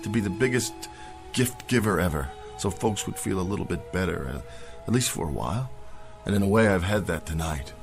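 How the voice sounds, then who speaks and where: A very deep-voiced man plays a gentle, warm-hearted Santa figure in an old audio drama. His voice swoops lively across its range, with music underneath. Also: fairly quick, creaky. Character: kindly man playing Santa Claus. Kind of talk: radio drama acting.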